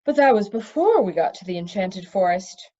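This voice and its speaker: A woman's voice: medium pitch, big pitch swings, moderate pace, mellow, lo-fi sound. A woman voicing a flustered, earnest middle-aged prince and reluctant wicked uncle, stammering and sighing, in a storybook reading. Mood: bewildered.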